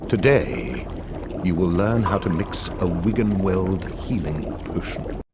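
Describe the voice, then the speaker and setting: An actor playing a stern, contemptuous potions professor in a video game, speaking in a deliberate, ominous way. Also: male voice, deep voice, expressive, heavily acted. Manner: deliberate, ominous, disdainful delivery. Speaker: potions teacher. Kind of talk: scripted video game cutscene acting. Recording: game audio with loud background noise.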